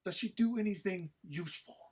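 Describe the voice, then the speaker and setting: A woman performs a disdainful, scolding companion in an audio comedy. The husky voice is arch and formal, full of dry sarcasm and exasperation. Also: on the low side, a little fast, a little mellow. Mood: exasperated. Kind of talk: informal script read-through of audio comedy.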